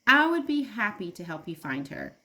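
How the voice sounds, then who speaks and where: A woman's voice: mid-range voice, very animated, normal speed, pushed and bright. Woman reading as a caring, coaxing kangaroo mom. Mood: sympathetic, coaxing. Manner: coaxing, sympathetic. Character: caring kangaroo mother, patient and helpful.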